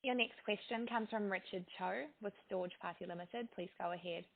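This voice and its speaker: A woman's voice: medium pitch, a little fast. A woman working as a conference call operator, reading scripted instructions and introducing callers. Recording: dull lo-fi conference line.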